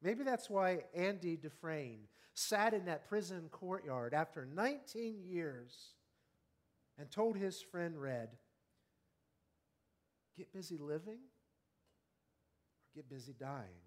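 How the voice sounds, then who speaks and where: A man's voice: on the high side, animated. Earnest man in his fifties preaching. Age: 50s. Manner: earnest, rhetorical questions, slows to stress key words. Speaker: pastor. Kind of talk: sermon.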